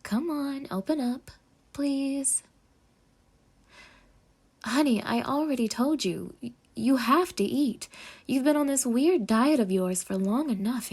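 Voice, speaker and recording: A sweetly obsessive girlfriend, acted by a woman for an intimate roleplay audio. Her voice sits fairly high and has a breathy, husky edge. She coaxes with saccharine devotion and dramatic, half-whispered asides. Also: animated, a little slow, a little mellow, full character voice. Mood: hurt, pleading. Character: sweetly possessive, obsessed yandere girlfriend, a chef. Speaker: ASMR voice actor.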